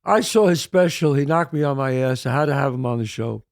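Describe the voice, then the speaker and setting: An enthusiastic man hosting a talk show, his voice gravelly and swinging up and down. He repeats himself as he praises his guest. The sound is close and dry. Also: mid-range voice, lively intonation, fairly quick, no room sound. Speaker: host. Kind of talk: interview.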